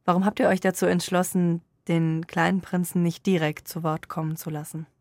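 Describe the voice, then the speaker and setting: A woman interviewer putting prepared questions in measured, articulate, formal phrasing. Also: fairly low pitch, level tone, darkish tone, dry recording.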